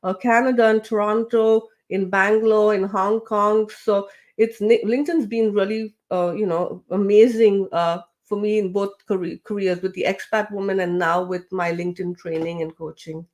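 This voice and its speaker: A woman's voice: medium pitch, steady tone, normal speed, a little mellow. Chatty woman with restarts. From grew up with British English. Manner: chatty, many fillers and restarts. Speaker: guest, LinkedIn trainer and coach. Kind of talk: casual interview talk.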